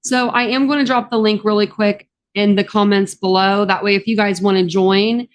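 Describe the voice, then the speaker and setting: A woman's voice: mid-range voice, fairly even intonation, normal speed. A woman with a Southern drawl, chatting casually on a livestream. Her voice is a bit scratchy from the morning, and she rambles with plenty of ums. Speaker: true crime YouTuber.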